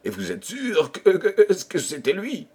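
A man's voice: medium pitch, big pitch swings, measured pace. A man voicing a flustered, vain and gullible old king in a storybook tale, with exclamatory, stammering speech. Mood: panicked, indignant.

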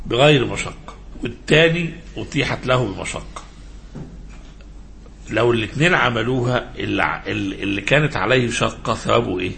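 A man with an Egyptian accent teaches religion in an informal lecture style. His medium-pitched voice has a creaky, gravelly grain, and he moves haltingly, prompting listeners with questions as he explains. A faint noise sits behind the recording. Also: a bit bright. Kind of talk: informal religious lecture mixing classical and colloquial speech.

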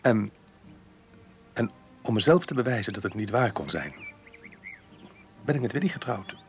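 Troubled middle-aged lover in a radio play, lowish voice, measured and earnest, music around. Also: male voice, sing-song. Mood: regretful, confessing. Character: married man in love, earnest, troubled, romantic. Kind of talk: radio drama dialogue.

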